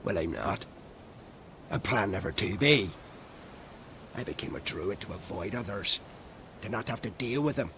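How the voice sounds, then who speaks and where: Sharp-edged surly druid. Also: male voice, fairly low pitch, lively intonation, normal speed, long pauses, slight background noise. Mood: irritated. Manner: curt, antisocial, blunt. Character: surly, reclusive male druid who shuns people. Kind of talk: video game character acting.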